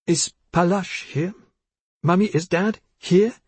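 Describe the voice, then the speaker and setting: A man narrator acts out a grown daughter in an audiobook, anxious and pleading. Her voice is pitched up and husky, with an urgent, imploring manner. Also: takes it slowly, a little mellow, no room sound, full character voice. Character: anxious, pleading adult daughter, eldest child.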